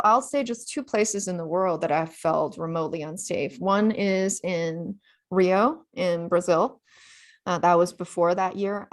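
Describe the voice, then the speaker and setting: A woman author, a native Chinese speaker born in China, telling her travel story in a relaxed interview, full of "you know" fillers. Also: fairly low pitch. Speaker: author guest.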